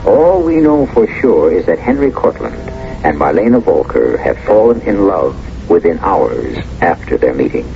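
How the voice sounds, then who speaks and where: A man narrating a 1970s radio mystery as an urbane host, musing in a measured, theatrical way. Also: low voice, sing-song, creaky, slight background noise, full character voice. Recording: dull old radio recording with music.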